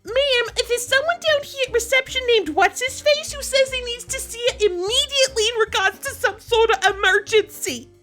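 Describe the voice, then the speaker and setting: A woman's voice: extremely high pitch, moderate pace, few pauses, fairly bright tone, faint music behind. A woman voicing a bored, brash receptionist with slangy, dismissive speech.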